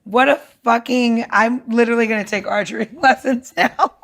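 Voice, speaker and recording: A woman chatting casually as a guest chef, telling stories in a blunt, excitable, sweary way. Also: on the high side, normal speed, vocal fry. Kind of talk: casual chat, storytelling.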